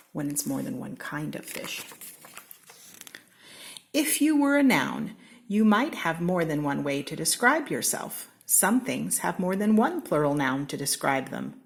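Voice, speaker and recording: A woman from Seattle with an American accent, reading a children's picture book aloud in a slow, expressive way. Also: vocal fry. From Seattle, Washington. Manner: slow, expressive read-aloud with lively pitch swings. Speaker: storybook reader.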